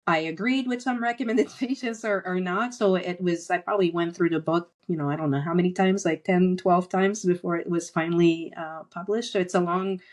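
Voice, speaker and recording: A middle-aged woman who grew up speaking French, with a somewhat low voice. She talks in a relaxed interview manner. Her speech is full of small pauses and fillers. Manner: hesitant, many fillers. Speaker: podcast guest, author. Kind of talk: casual interview talk.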